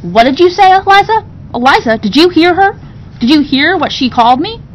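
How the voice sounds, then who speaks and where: A woman's voice: lively intonation, a little fast. A woman reading a storybook, voicing a spoiled, cruel rich boy who sneers and gives orders. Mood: outraged. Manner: sneering, commanding, indignant. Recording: dull recording with low rumbling noise.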